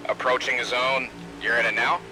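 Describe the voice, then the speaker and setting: A man playing a NASCAR crew chief on simulated team radio, steady and businesslike, giving clipped procedural instructions over a radio filter. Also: mid-range voice, fairly quick. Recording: radio chatter over car noise.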